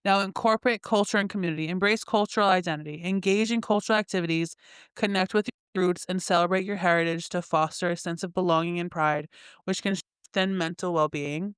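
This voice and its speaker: A woman hosts a casual solo podcast from her bed, reading affirmations and joking at her own stumbles. Her voice is very clear and smooth. The sound is close and dry, with no room echo. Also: fairly low pitch, fairly even intonation, fairly quick. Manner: chatty, self-mocking, laughs at her own slips. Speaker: podcast host.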